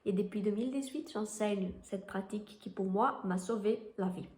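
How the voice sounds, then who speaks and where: A woman's voice: medium pitch, moderate pace, darkish tone. A woman from Brazil with a Brazilian Portuguese accent, a Reiki teacher whose first language is Portuguese, talking enthusiastically about a book and often restarting as she searches for words. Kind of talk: casual book talk to camera. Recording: roomy echoey room.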